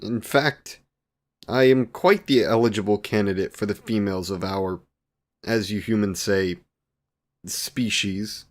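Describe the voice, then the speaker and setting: A man's voice: lowish voice, fairly even intonation, a little slow, lightly acted. A man playing a courtly, devoted, youthful vampire boyfriend in a romantic audio roleplay, with archaic, formal wording and tender endearments. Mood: flustered, indignant. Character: courtly, devoted 128-year-old male vampire boyfriend, youthful, doting.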